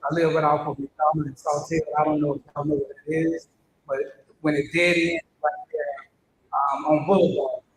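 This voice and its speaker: A man's voice: flat delivery, normal speed. An older man welcoming people to his church and leading an earnest prayer, often repeating his words. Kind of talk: welcome address and spoken prayer. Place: church hall. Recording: echoey church hall, faint rumble.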